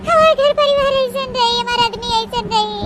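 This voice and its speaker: A woman's voice: extremely high pitch, normal speed, fairly bright tone. A woman voicing a wretched, tricked young bride in a comic cartoon melodrama, lamenting tearfully with an Awadhi accent. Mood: tearful, despairing. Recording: very noisy cartoon voice track.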